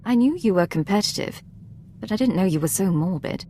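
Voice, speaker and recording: A woman playing a composed secret agent in a video game, speaking in a controlled, dry, measured way. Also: mid-range voice, expressive, dark tone, a little hiss or hum, close to an everyday voice. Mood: dry, probing. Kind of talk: video game protagonist dialogue acting.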